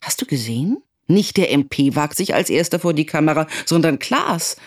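Radio drama role: brooding, resentful middle-aged mother, husky, expressive range. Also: deep voice, moderate pace. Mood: tense, resentful. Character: anxious, angry middle-aged mother estranged from her husband. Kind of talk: dramatic first-person monologue.

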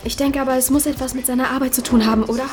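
An actress playing a troubled but determined twenty-year-old in naturalistic audio drama dialogue, talking casually with slang and hesitations. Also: female voice, sits high, steady tone, over music, lightly acted. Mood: earnest, suspicious. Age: young adult. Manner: casual, youthful slang, halting.

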